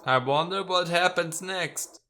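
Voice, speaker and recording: Bright-voiced man doing game commentary. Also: sits high, a little slow, a little hiss or hum. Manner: nitpicking, exclamatory asides between read lines. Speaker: video game streamer. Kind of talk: let's play commentary, reading game dialogue aloud.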